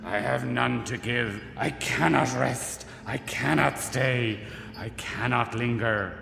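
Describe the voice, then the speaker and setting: A man playing the ghost of a dead old businessman in a radio play, speaking in slow, grave, booming pronouncements. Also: vocal fry, bright, forceful tone, heavily acted. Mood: solemn. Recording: echoey ghostly reverb with music.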